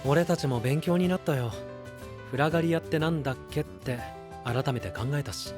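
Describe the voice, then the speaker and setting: A man's voice: a little high, normal speed, over music, close to an everyday voice. A man playing a friendly, curious, easygoing young knight with casual, frank phrasing in an anime-style audio drama. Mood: friendly, reflective.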